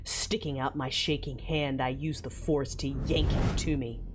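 A woman's voice: very deep voice, steady tone, moderate pace, background noise. A woman acting a grieving, enraged female warrior who narrates her own fight with brooding intensity in an audio drama. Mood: grim recollection.

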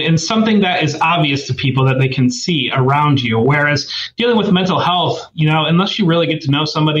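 A man from Northwest Ohio, a guest in a casual conversation, talking earnestly and reflectively with halting fillers over a video call. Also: on the high side, a bit bright. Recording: echoey, muffled remote audio with faint noise.

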